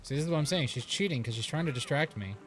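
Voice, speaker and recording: A man's voice: a little high, lively intonation, very fast, fairly bright tone. A man co-hosting a gaming livestream, talking casually and grumbling when the game frustrates him. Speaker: co-streamer and partner. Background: game music.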